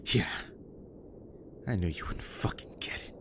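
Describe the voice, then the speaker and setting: A man acting in an audio drama as a bitter, wounded, foul-mouthed survivor with a halting, raw delivery. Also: a bit bright, slight background noise, lightly acted. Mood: furious.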